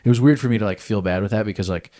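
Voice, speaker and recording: A man's voice: on the low side, very fast, dark tone. A young man co-hosting a podcast, chatting loosely and joking with plenty of swearing. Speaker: podcast co-host. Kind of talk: casual chat.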